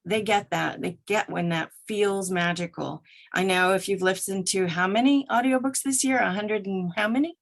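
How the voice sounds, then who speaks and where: A woman's voice: medium pitch, moderate pace, gravelly, hardly any pauses. A woman answering as an interview guest in a conversational, reflective way, earnest and thoughtful. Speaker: interview guest, audiobook narrator.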